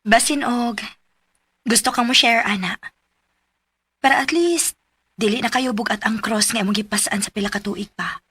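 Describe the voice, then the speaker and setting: A gentle churchgoing woman who coaxes and comforts her friend with concern in her words, as a woman acts her out. Her voice sits a little high and carries a breathy softness in this radio play. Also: normal speed, long pauses, no echo. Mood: caring. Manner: warm, coaxing, concerned. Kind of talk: radio drama acting.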